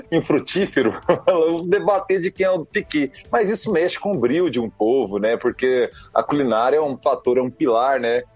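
Brief: man from Goiás, talk-radio commentator, slightly husky, dry muffled studio sound, animated and rambling. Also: medium pitch. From Goiás, Brazil. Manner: animated, digressive, frequent tag questions.

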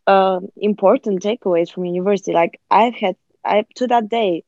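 A woman hosting a podcast interview, asking thoughtful questions in a measured way. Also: mid-range voice, a little mellow, no echo, dull audio. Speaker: podcast host. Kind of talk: interview chat.

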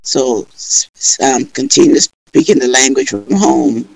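A middle-aged woman with a foreign accent, recalling her life in a halting, conversational way as a guest on a video call. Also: extremely low pitch, gravelly, breathy edge, poor audio. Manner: halting, repeats words. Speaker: podcast guest. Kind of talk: conversational personal recollection.

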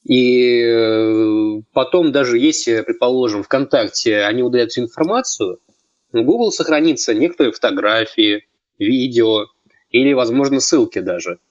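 A man's voice: medium pitch, normal speed, dry recording, lo-fi sound. A man of twenty on a casual podcast, explaining things step by step. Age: 20s. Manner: explanatory, lays out points step by step. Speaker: podcast co-host.

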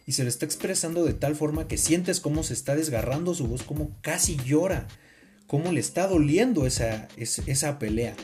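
A man with a Mexican accent talking casually in an opinionated, slangy, expressive way, as a podcast co-host. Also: a little high, fairly quick, faint music behind.

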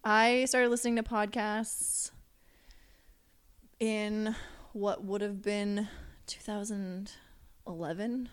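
A woman's voice: medium pitch, steady tone, slow, clear, resonant tone. A young woman from Alberta, hosting a casual podcast chat with her team and often restarting her sentences. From Alberta, Canada. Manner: chatty, restarts sentences, asks the questions. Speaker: podcast host and producer.

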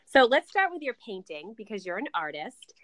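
A woman's voice: mid-range voice, sing-song, normal speed, fairly bright tone. A woman hosting a podcast, talking in an upbeat, enthusiastic way and interviewing a guest. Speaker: podcast host.

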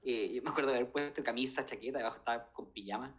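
A man's voice: mid-range voice, very fast, fairly bright tone, muffled recording. A man in his thirties from Chile with a Chilean Spanish accent, telling a story in casual conversation with many fillers and restarts. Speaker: guest.

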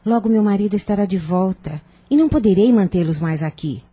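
A woman playing a firm, persuasive older aunt in a radio soap opera, genteel, formal and measured. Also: medium pitch, expressive, mellow, slightly rough, no room sound. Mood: grave, persuasive.

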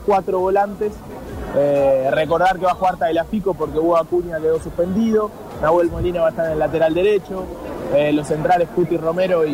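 A man with an Argentine accent reporting live in an informal, halting way, repeating himself as he goes. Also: fairly high pitch. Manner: informal, colloquial, halting with repetitions. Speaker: on-location sports reporter. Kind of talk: live unscripted match-day report. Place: outdoors in a city by a metro. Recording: clear outdoor urban traffic noise.

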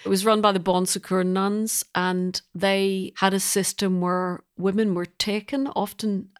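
A woman's voice: medium pitch, level tone, measured pace, clean tone. A woman with a Belfast accent speaking thoughtfully as an interview guest, explaining her work in a reflective, conversational way. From Belfast, Northern Ireland.

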